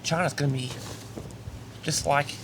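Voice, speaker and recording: A man's voice: a little high, fairly even intonation, measured pace, creaky, fairly bright tone, a little hiss or hum, poor audio. A man delivering a mock-serious, grandiose monologue, repeatedly addressing his audience with self-important certainty. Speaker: host of a comedic audio log.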